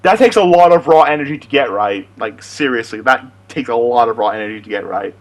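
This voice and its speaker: Casual male creator, informal rambler, animated intonation, addressing viewers. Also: medium pitch, brisk, low-quality recording. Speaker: amateur voice impressionist, video creator.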